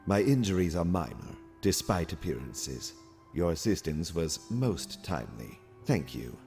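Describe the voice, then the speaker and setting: A man playing a calm, cerebral alien military cadet in an audio comic, speaking formally and with precise, measured wording. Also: low-pitched, gravelly, faint music behind, full character voice. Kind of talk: scripted audio comic acting.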